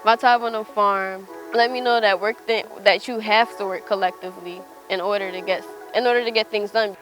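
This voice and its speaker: Farm worker, medium-pitched voice, casual interview talk, music clearly underneath. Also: fairly even intonation, brisk, a bit bright, rough recording.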